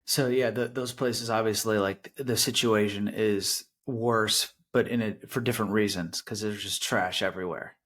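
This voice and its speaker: Casual male podcast host, lowish voice. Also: fairly even intonation, normal speed, few pauses, a bit bright. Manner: casual, many "like" fillers. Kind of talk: casual interview. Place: Los Angeles.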